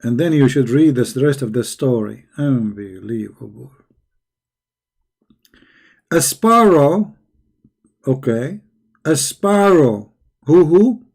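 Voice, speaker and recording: A hoarse man who is losing his voice, giving a sarcastic, scornful commentary. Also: mid-range voice, animated, slow pace, pauses often, a little mellow. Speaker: religious critic. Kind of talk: mocking video commentary.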